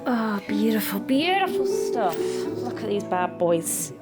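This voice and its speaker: A British woman vlogging about crafts in a chatty, excitable, playful and cutesy way. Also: medium pitch, takes it slowly, loud music behind the voice. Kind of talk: chatty craft vlog with narrated voice-over.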